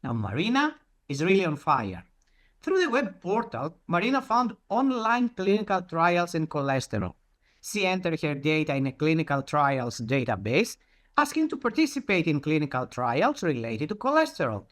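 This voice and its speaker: A presenter with a very animated, mid-pitched voice delivers a prepared talk. The phrasing is deliberate and storylike, with key points repeated for emphasis and plenty of rise and fall.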